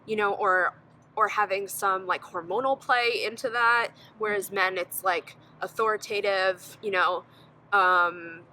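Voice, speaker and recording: A woman's voice: mid-range voice, fairly even intonation, measured pace, bright, forceful tone, a little hiss or hum. A young woman from Connecticut, an art student, giving thoughtful, hedged interview answers. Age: young adult. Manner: thoughtful, hedging with "I think".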